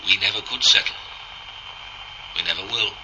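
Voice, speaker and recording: A man's voice: deep voice, fairly even intonation, moderate pace, bright, forceful tone. An actor playing a world-weary, sardonic male machine being in a radio drama, with wry, clipped delivery. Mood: wry resignation. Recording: very noisy old radio drama recording.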